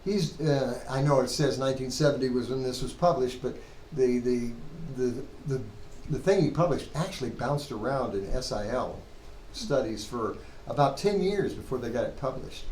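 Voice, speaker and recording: A man's voice: mid-range voice, lively intonation, normal speed, few pauses, darkish tone. A man lecturing informally to a class in a conversational way, posing questions to himself and correcting his own phrasing as he goes. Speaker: seminary Hebrew lecturer. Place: classroom with students. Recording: roomy echo, clear background noise.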